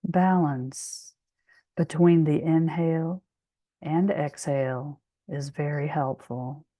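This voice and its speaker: A woman guiding a meditation in a soothing, measured way, leaving long pauses between phrases. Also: sits low, steady tone, warm tone, dry recording. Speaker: meditation guide. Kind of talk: guided meditation.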